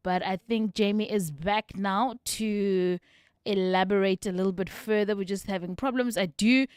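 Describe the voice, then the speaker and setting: A woman's voice: mid-range voice, fairly even intonation, normal speed, a little mellow, clean tone, no room sound, clean studio sound. A woman hosting a radio talk show, upbeat and chatty with her guest and listeners. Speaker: radio host. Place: radio studio.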